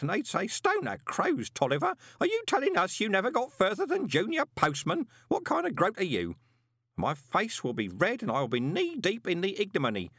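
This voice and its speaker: Old postman, pitched high, muttering, aggrieved temper, swooping delivery, rambling, audiobook character. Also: fairly bright tone, no echo, studio quality, exaggerated voice. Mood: aggrieved, anxious. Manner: muttering, rambling, uneducated colloquial speech, mock-solemn. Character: grumbling, anxious, superstitious old male junior postman.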